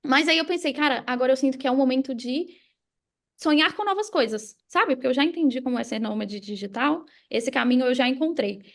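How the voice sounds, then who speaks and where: A young Brazilian woman speaks in a high voice with noticeable vocal fry. She talks like a podcast guest sharing motivational advice, casual, conversational and emphatic. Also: expressive, fairly quick. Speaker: podcast guest, digital marketing mentor. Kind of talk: casual motivational interview talk.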